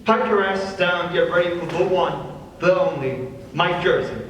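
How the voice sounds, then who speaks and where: Young guy doing loud brash club announcer, high voice, showman style, far off in echoey hall. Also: a little slow, slight background noise. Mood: brash hype. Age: young adult. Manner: showman-like, commanding. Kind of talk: staged dramatic script reading.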